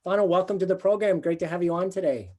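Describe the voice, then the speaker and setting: A man's voice: high voice, fast talker, a little mellow. A man hosting a local business interview series, friendly and encouraging, laughing along with his guest. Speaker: business coach, interview host.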